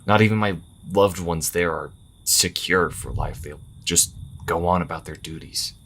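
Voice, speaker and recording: A man's voice: deep voice, measured pace, vocal fry, fairly bright tone, heavily acted. A man playing an earnest, conflicted young priest in a fantasy audio drama, hesitant and prone to stammering. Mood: troubled, torn. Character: earnest, conflicted twenty-two-year-old male priest.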